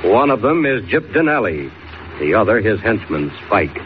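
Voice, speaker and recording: A man's voice: fairly low pitch, sing-song, moderate pace. A man narrating a 1940s radio serial in a dramatic, suspenseful scripted style. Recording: dull, faintly noisy old radio recording.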